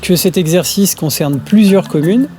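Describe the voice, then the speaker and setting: A man giving a measured explanation in an interview. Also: high-pitched, dark tone. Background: music.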